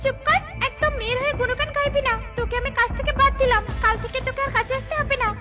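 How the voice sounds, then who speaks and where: Woman as a shrill, fast-scolding haughty peahen. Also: steady tone. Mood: angry, dismissive. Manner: sharp, rapid, contemptuous scolding. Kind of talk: Bengali fairy-tale cartoon dubbing. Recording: music bed behind the voice.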